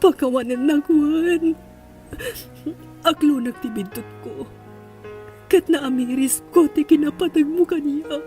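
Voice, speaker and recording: A very high, bright-edged yet soft-focused voice from a woman acting a remorseful mother in a radio drama. She is slow, tearful and pleading, with an Ilocano accent and a mellow tone over faint music. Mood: tearful relief. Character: distraught, remorseful mother searching for her lost child.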